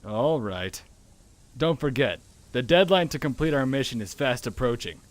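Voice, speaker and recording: A man's voice: mid-range voice, lively intonation, normal speed, close to an everyday voice. A man playing a domineering, dutiful young trainee in a drama, with a stern, commanding manner. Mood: purposeful. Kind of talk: dramatic voice acting in an audio drama.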